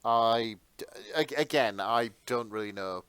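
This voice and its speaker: A man's voice: medium pitch, a little slow, a bit bright, smooth, no echo. A man co-hosting a podcast in casual banter, restarting sentences and swearing with emphasis. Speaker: podcast co-host. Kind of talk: casual banter among friends.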